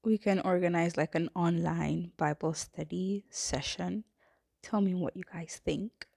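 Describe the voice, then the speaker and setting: A young woman in her twenties with a cold, talking casually on a faith podcast, rambling and apologetic and often sinking into a breathy near-whisper. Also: fairly low pitch, fairly even intonation, unhurried, mellow, no echo, studio quality. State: has a cold. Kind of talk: casual testimony podcast talk.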